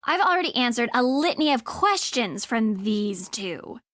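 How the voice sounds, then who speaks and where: A woman's voice: a little high, sing-song, moderate pace, fairly bright tone, no echo, clean studio sound. A woman playing an irritated, sarcastic, snippy woman in a video game. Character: irritated, snippy woman who resents being questioned.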